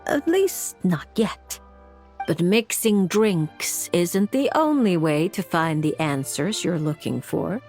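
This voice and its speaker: A woman voicing a calm, knowing fortune teller in a video game, measured and cryptic, with music behind her. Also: fairly low pitch. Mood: gently teasing. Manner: measured, cryptic, gently teasing. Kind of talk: theatrical video game voice acting.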